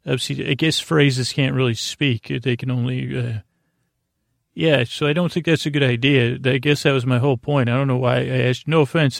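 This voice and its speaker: Creaky-voiced man rambling at bedtime. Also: medium pitch, dry recording, studio quality. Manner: meandering, halting, trails off mid-sentence; whimsical, talks to an imaginary guest. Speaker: host of a bedtime podcast. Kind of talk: rambling improvised bedtime monologue.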